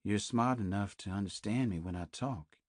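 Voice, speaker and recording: A narrator voicing a sinister male kidnapper who croons in a soft, creepy way. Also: sits low, normal speed, dry recording, studio quality. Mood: menacing.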